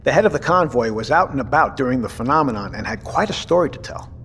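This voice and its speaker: Audio drama captain male actor: middle-aged, courteous leader, mid-pitched with lively intonation, long room reverb. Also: close to an everyday voice. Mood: matter-of-fact. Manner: measured, thoughtful. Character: measured, courteous male captain and father leading a settlement. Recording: reverberant room with faint noise.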